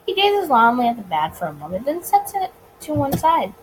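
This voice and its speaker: A woman's voice: sits high, huge pitch swings, a little fast, noisy background. A woman reading visual novel narration aloud expressively, sometimes stumbling on words and rereading them. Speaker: video game let's player.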